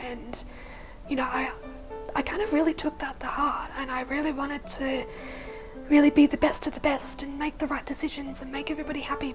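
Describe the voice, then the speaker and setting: Husky, hoarse young woman, high-pitched. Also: low-quality recording. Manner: soft, often drops to a breathy near-whisper; rambling, with many fillers like "like" and "you know". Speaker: vlogger sharing her life story. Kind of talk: casual personal storytelling. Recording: music playing underneath the voice.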